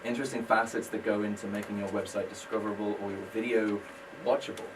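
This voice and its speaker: A British man with a fairly low voice talks through his work as a guest on a radio show, friendly and self-deprecating. He sounds set back from the microphone, with clear background noise under him. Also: level tone, fast, far from the mic. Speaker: guest, business owner. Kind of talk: casual radio interview.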